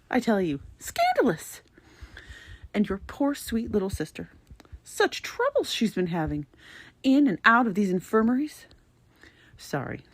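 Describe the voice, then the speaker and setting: A woman's voice: fairly low pitch, slightly rough, dry recording, full character voice. A woman voicing a prim, fussy, easily scandalized old-fashioned lady, reading a letter theatrically with proper, emphatic diction. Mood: concerned, disapproving.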